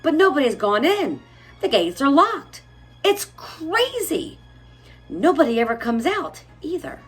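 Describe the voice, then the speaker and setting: A woman voicing astonished townspeople in a storybook, exclaiming excitedly with a raised voice. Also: high-pitched, unhurried, soft background music, voice pushed up. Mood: baffled. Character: astonished, gossiping townspeople crying out.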